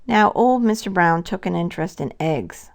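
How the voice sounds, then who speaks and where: A woman's voice: fairly low pitch, animated, normal speed, gravelly. A woman narrating a scripted children's story as a storybook reader.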